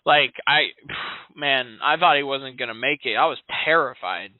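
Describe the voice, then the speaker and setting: A man's voice: mid-range voice, a little slow, no room sound. A man in his late twenties, an insurance sales agent, chatting casually and in a friendly way on a sales call, with many fillers. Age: 20s. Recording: dry, tinny phone line.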